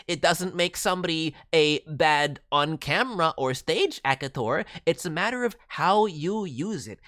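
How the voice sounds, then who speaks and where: A performer voicing a cheeky, excitable non-human cartoon mascot who hosts a casual stream with boastful, playful word-mangling. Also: medium pitch, no room sound, clean studio sound. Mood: earnest advice. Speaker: host reviewing voice demo reels. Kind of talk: casual live stream commentary.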